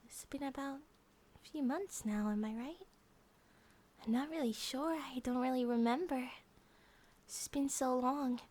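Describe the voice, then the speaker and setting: A woman voicing a gentle, affectionate monster-girl bear wife in a soft ASMR comfort roleplay, often dropping to a whisper. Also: high voice, unhurried. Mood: tender, caring.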